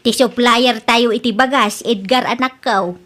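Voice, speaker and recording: Lady playing bossy ilocano mom in a radio drama, really high piercing voice, expressive n nagging. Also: unhurried. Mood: worried, coaxing. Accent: Ilocano Filipino. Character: bossy, chatty middle-aged mother running a small store.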